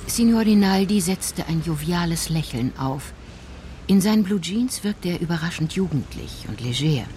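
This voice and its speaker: A woman's voice: lowish voice, lively intonation, moderate pace, mellow, a little hiss or hum. A woman narrating a scripted crime story for a radio play in a composed, precise manner.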